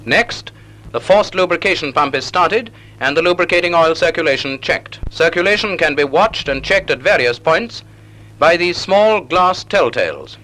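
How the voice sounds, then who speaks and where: A man narrating a 1940s naval instructional film in a measured, didactic, precisely enunciated manner on a period film soundtrack. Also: on the high side, animated, pushed and bright, low-quality recording.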